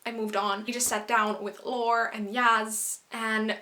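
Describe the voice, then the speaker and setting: A young woman from Finland, a native Finnish speaker, chatting casually to camera as a vlogger in an animated storytime style. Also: a little high. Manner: chatty and animated, quick asides and quoted exclamations.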